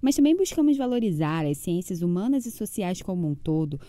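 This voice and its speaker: A young woman reading a scripted presentation to camera in a steady, formal way. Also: on the low side, brisk, no room sound.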